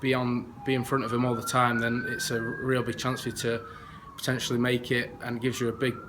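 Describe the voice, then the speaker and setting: A young man answers interview questions in a plain, measured way as an academy rugby player. His voice barely moves in pitch. Noise and a siren can be heard behind him. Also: completely flat delivery. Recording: noisy outdoor recording with siren.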